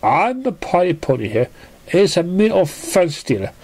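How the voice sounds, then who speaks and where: A man's voice: sits high, big pitch swings, normal speed, a little mellow, husky, voice pushed up, full character voice. A man voicing a jealous, hot-tempered female party pony in a melodramatic, indignant way. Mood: angry.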